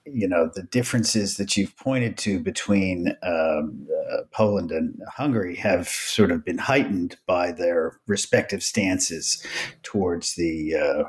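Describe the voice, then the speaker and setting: A man hosting a podcast, summarizing the discussion in a measured way with some fillers. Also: sits low. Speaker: podcast host.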